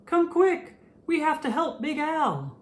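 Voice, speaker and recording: A storyteller voicing a small, smart, eager male fish in a picture book, with an excitable delivery. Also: sits high, slow pace, pitched above the performer's own voice, full character voice. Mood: urgent.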